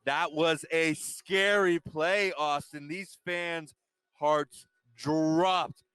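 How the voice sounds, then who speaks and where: A man working as color commentator on a softball broadcast, giving casual analysis between pitches. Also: steady tone, extremely slow, clean tone, no echo.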